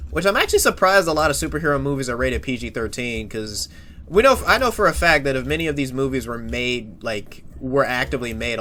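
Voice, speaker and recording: An excitable, foul-mouthed superhero played by a man in loose improvised banter. The voice is bright and forceful, with a faint steady hiss underneath. He talks in rushed bursts and keeps restarting his sentences. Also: medium pitch, lightly acted. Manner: excitable, swears a lot, frequent restarts. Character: brash young adult male superhero, talkative. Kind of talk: improvised in-character chat.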